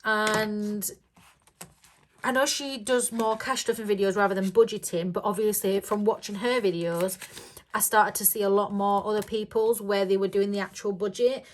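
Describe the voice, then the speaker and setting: A woman chatting casually to camera about budgeting, rambling with lots of ums and little giggles, her voice a bit croaky. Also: mid-range voice, fairly even intonation, moderate pace. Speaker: budgeting vlogger.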